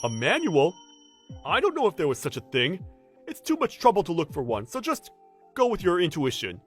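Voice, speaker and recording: A man's voice: high-pitched, huge pitch swings, moderate pace, a bit bright, breathy edge, faint music behind, voice pushed up, full character voice. A man voicing an arrogant, scornful and lazy senior office worker in an anime-style dub, with a sneering, dismissive manner.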